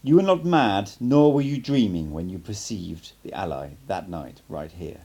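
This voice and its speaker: A man's voice: low-pitched, moderate pace, dark tone, breathy edge. A man voicing an assured, cryptic sorcerer mentor in an audiobook, with an emphatic, instructive manner. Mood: solemn, emphatic.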